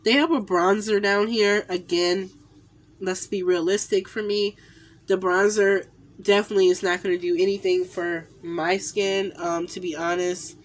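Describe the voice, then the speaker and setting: A woman's voice: mid-range voice, fairly even intonation, normal speed. Nasal stuffy-voiced woman chatting at home. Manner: chatty, lots of fillers and repeated "definitely". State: nasal from a cold. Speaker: beauty vlogger. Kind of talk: casual makeup haul review. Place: small indoor room at home. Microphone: phone camera at arm's length.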